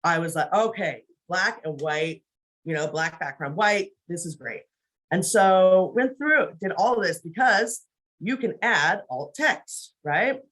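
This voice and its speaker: Casual presenter talking thru a screen share on a video call, medium pitch, chatty, keeps checking in. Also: lively intonation, slow, fairly bright tone, poor audio. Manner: conversational, often checking with "right?". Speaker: presenter giving accessibility training.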